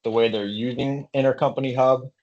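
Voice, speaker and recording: Man, consultant presenter, flat intonation, hesitant explanatory delivery, filler phrases, dull recording. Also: mid-range voice, fairly quick. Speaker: consultant presenting a webinar series. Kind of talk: explanatory business slide presentation. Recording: dull, lo-fi band-limited audio.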